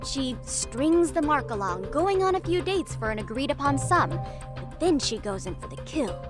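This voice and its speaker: A woman's voice: sits high, very animated, normal speed. A woman playing a self-important teenage schoolgirl who leads a detective club in a video game dub, with pompous, precise, dramatic phrasing. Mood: playful, dramatic. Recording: music under the dialogue. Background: music.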